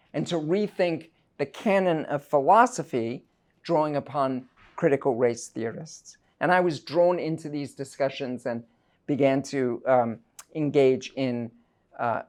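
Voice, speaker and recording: Slow-paced academic man, middle-aged. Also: fairly high pitch, a little mellow. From South Africa. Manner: measured, deliberate, scholarly phrasing. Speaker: university professor and author. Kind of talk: prepared academic lecture, read aloud.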